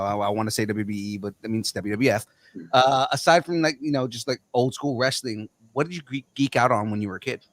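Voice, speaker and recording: Halting podcast host in his thirties. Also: mid-range voice, fairly bright tone, no echo. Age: 30s. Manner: halting, repeats words as he starts sentences. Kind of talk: casual podcast chat.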